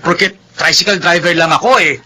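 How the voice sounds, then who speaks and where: Man acting a bright-voiced, stubborn young lover. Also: high-pitched, a little fast, full character voice. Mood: offended. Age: young adult. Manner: indignant, earnest. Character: proud, stubborn young tricycle driver in love. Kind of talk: melodramatic radio drama acting.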